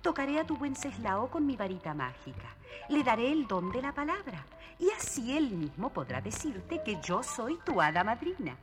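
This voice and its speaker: A woman playing a kindly, reassuring fairy godmother in a children's audio storybook, measured and gently commanding. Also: on the high side, sing-song. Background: music.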